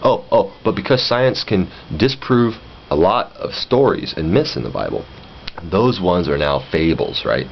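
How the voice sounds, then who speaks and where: A man's voice: sits low, very animated, a little slow, gravelly, muffled recording, slight background noise. A man giving a casual, opinionated monologue, blunt and exasperated, swearing and acting out conversations as he goes. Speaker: vlogger.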